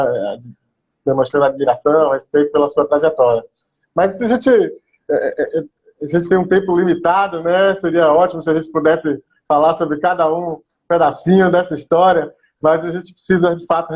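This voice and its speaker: A man hosting a live stream speaks at a somewhat higher pitch, courteous and wordy, with many fillers. The call audio sounds dull and lo-fi. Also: darkish tone. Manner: courteous, long wordy questions with frequent fillers. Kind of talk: interview over a live stream.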